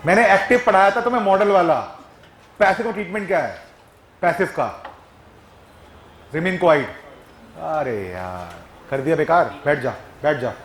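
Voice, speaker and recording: A man's voice: on the high side, lively intonation, normal speed, long pauses, slight background noise. A man from Punjab teaching a live grammar class, teasing and quizzing his students. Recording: echoey classroom.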